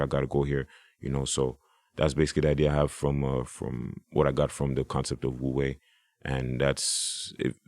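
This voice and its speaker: A man's voice: a bass voice, a little mellow, no room sound. A man, a hip hop artist, talking casually as a podcast guest, rambling with restarts and "you know".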